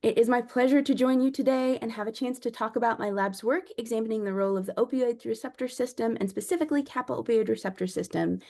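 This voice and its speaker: A soft-spoken woman gives an academic lecture in a measured, explanatory way. Her voice is mellow and warm with a gravelly edge of fry. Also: mid-range voice. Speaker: guest lecturer, clinical psychologist.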